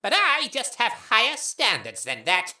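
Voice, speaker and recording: Woman playing a haughty, piercingly bright monster. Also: fairly high pitch, huge pitch swings, unhurried, full character voice. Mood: snooty. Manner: pompous, proud, declamatory. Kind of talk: amateur audio drama acting.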